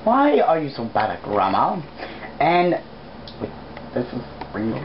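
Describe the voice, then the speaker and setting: A woman's voice: very deep voice, wildly swooping pitch, a little slow. A woman reading fan fiction aloud as an amateur, casual and blunt in her delivery. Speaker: reader. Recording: muffled, noisy home recording.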